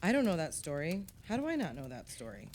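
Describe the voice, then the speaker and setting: An actress playing a frank, expressive friend in a group conversation in a naturalistic audio play. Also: female voice, deep voice, fast talker, a little hiss or hum, heavily acted. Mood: curious, teasing.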